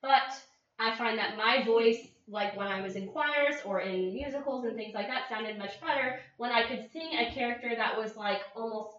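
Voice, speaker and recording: A woman co-hosting a podcast, chatting animatedly in a high-pitched voice. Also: moderate pace. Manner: animated, bursts into song snippets. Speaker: podcast co-host. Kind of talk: casual chat. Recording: echoey, far from mic, dull lo-fi, faint hiss.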